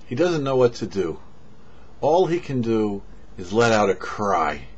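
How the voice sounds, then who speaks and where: A man, a rabbi, giving a short sermon with parables in a measured storyteller's delivery, pausing between phrases. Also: on the low side, big pitch swings, slightly rough. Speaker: rabbi, host of a weekly Torah portion show. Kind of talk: sermon with storytelling, read for a show. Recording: dull, lo-fi audio.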